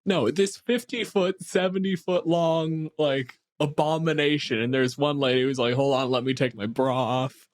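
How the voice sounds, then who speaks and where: A man's voice: a little high, fairly even intonation, normal speed, few pauses, no echo. Wry man explaining lore, close dry sound. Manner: wry, explanatory, swears casually. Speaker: podcast co-host. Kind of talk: casual discussion of monster lore.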